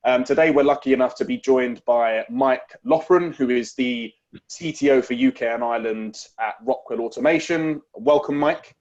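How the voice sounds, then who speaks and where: A man's voice: mid-range voice, moderate pace, darkish tone, dull audio. Polite male webinar host. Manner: polite, organizing. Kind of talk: introducing a webinar.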